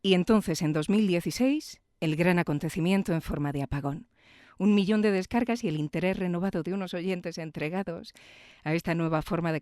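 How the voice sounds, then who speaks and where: Clear Castilian Spanish woman hosting. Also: low voice, darkish tone, no echo, clean studio sound. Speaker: podcast host and interviewer. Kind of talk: scripted introduction and enthusiastic interview. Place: radio studio.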